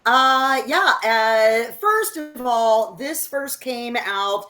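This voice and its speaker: A woman co-hosting an unscripted political discussion, speaking in an emphatic, opinionated way and halting as she gathers her thoughts. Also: fairly high pitch, expressive, pushed and bright. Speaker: co-host of a political discussion show.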